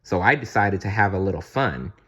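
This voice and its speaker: Man voicing a kind, playful princess, lively pitch. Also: brisk, husky, highs cut off, heavily acted. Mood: mischievous. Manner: gentle, earnest, storytelling. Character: kind, playful young princess telling her love story.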